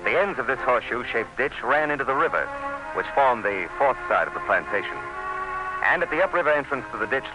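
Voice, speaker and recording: Guy playing grave anxious commissioner in a radio drama, deep voice, suspenseful storytelling over clear music. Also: lightly acted, low-quality recording. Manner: grave, suspenseful, literary delivery. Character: anxious, fascinated commissioner recounting a jungle ordeal. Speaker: actor and narrator in a radio drama. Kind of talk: dramatic first-person radio narration and dialogue.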